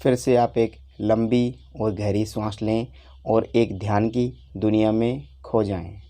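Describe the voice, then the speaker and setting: A man leading a guided meditation, giving unhurried instructions in short phrases with long pauses. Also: fairly low pitch, fairly even intonation, dark tone. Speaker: meditation teacher.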